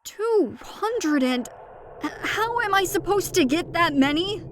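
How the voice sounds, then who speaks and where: A woman's voice: very high voice, lively intonation, slow pace, fairly bright tone, a little hiss or hum, exaggerated voice. A woman playing a young goat girl in a fantasy audio drama, earnest and anxious, with a hesitant, polite manner. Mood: anxious hope.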